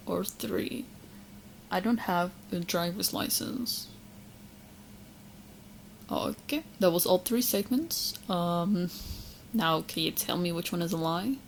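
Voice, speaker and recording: Casting: female performer as clingy, self-assured young witch for roleplay audio; slightly low voice, coy chatty delivery, faint ambient noise. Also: slow pace, creaky, long pauses, rough recording. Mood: sweet, coaxing. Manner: coy, smug, chatty, hesitant asides. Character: obsessive, smug young female witch in love. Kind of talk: roleplay audio drama, addressing the listener.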